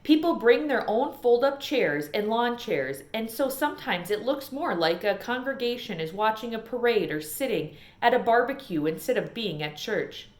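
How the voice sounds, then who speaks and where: Higher-voiced woman reading in an echoey room. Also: expressive, normal speed, hardly any pauses. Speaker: storybook reader. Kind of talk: read-aloud narration of a children's novel.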